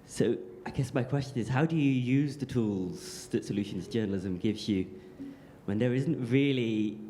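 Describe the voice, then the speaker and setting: A man's voice: medium pitch, moderate pace, warm tone, slight background noise. A man asking a thoughtful, skeptical question from the audience.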